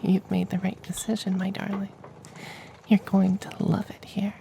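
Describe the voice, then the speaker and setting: A performer voicing a tender, powerful femboy witch in a soft, partly whispered ASMR fantasy roleplay. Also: mid-range voice, completely flat delivery, creaky, background noise, natural voice. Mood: tender, reassuring. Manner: hushed, partly whispered, slow and soothing.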